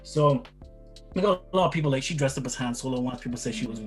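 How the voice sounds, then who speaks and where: A man's voice: mid-range voice, fast talker, soft background music. A middle-aged man from Barbados giving casual, rambling interview answers, repeating words and often checking with "right?". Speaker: interview guest, father of the other guest.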